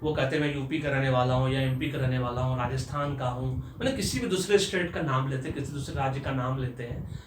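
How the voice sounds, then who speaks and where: Emphatic professor, echoey room. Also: male voice, a little high, background noise. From Bihar, India. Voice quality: earnest, emphatic, rising when stirred. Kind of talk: motivational talk to camera. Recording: echoey room, mic far off.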